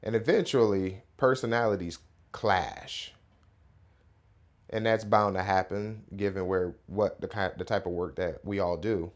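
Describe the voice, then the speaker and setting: A man in his thirties hosting a casual morning podcast, his voice hoarse and a little raspy, rambling with fillers. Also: deep voice, measured pace. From Rochester, New York area. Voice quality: relaxed, rambling delivery with many fillers. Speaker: podcast host.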